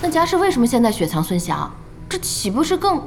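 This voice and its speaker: Expressive, outspoken young woman. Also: high voice, fast talker, a little hiss or hum. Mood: curious. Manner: direct, questioning, expressive. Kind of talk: Chinese radio drama acting.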